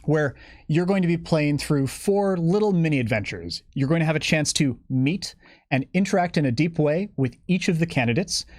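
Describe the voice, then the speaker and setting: A man's voice: fairly high pitch, dry recording, clean studio sound. A man speaking as a guest on a live stream, explaining things in a detailed, methodical way. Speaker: guest game developer giving campaign updates.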